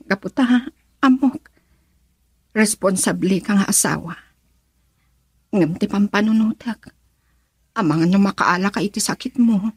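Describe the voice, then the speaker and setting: A woman's voice: medium pitch, unhurried, pauses often, breathy edge, dry recording. A woman playing a loving young wife, plaintive and tender, in an Ilocano radio drama. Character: loving, wistful young wife, hurt by her father.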